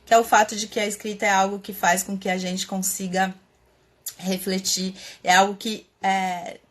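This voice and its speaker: A woman's voice: mid-range voice, level tone, moderate pace. Brazilian woman musing aloud on a live stream. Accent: Brazilian Portuguese. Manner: thinking aloud with many fillers and restarts. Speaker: host of a live stream on writing. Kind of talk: casual reflective live talk. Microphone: webcam or computer mic, live stream.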